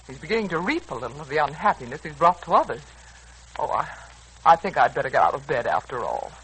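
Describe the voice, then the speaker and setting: A woman's voice: low voice, big pitch swings, fast talker, a bit bright, exaggerated voice. An actress playing a bitter, self-pitying middle-aged mother in a 1940s radio serial, melodramatic and aggrieved. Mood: martyred, bitter. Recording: noisy old 1940s radio recording.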